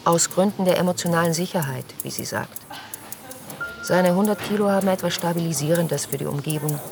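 Dry, creaky-voiced youth worker. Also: female voice, on the low side, brisk, music in the background. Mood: wry. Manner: dry, deadpan. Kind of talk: first-person radio drama narration.

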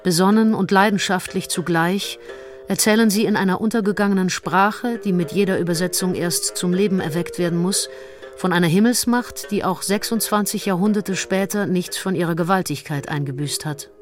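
A woman's voice: lowish voice, moderate pace. A woman reading literary prose from a script as an audiobook narrator. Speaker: audiobook reader. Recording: audiobook excerpt over soft guitar music. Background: plucked guitar.

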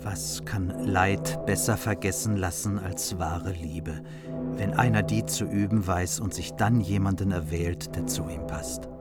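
An actor playing a noble margrave who speaks as a formal, earnest envoy in a radio play. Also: male voice, low-pitched, fairly even intonation, a little slow. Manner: solemn, formal courtly speech. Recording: music under the voice.

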